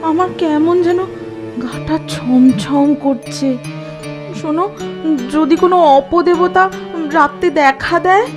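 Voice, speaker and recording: A woman's voice: sits high, takes it slowly, long pauses, over music. A woman voicing a timid, fearful village housewife in a cartoon, hesitant and pleading. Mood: anxious. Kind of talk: cartoon voice acting.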